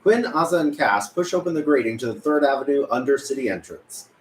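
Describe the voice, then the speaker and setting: Male table-read narrator; animated pitch, scripted delivery, echoey recording. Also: brisk. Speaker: narrator reading stage directions. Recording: echoey room, faint noise.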